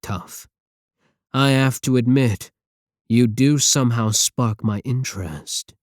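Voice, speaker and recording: A man's voice: on the low side, warm tone, no echo, studio quality, full character voice. A man playing a possessive, seductive supervillain in an ASMR audio roleplay, with theatrical, condescending endearments and an idealistic contempt for the world. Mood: coaxing, possessive.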